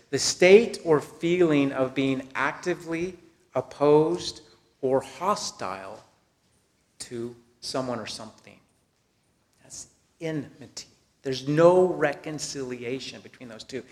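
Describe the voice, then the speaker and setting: A man with an American accent preaching a sermon and reading scripture, speaking in deliberate, emphatic phrases that fall away softly at the end. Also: mid-range voice. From Texas, United States.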